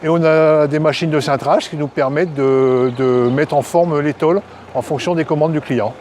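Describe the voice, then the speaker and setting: A man's voice: on the high side, steady tone, moderate pace, a little mellow, slight background noise. A man speaking as a company director in an interview, explaining the business in a plain, matter-of-fact way.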